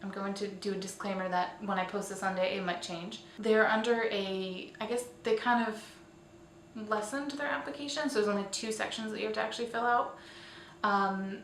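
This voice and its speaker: A woman presents a casual online roundup in an informal, chatty manner. Her voice sits at an average pitch and she drops in self-deprecating asides. The recording is roomy and echoey, a little away from the mic, with faint noise underneath. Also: recorded from a distance. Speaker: host of a weekly online roundup show.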